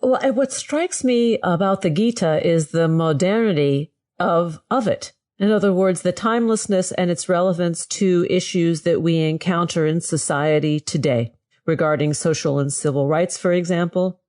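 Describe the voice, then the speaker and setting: A woman hosting an interview, asking thoughtful, measured questions. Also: lowish voice, darkish tone. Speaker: host.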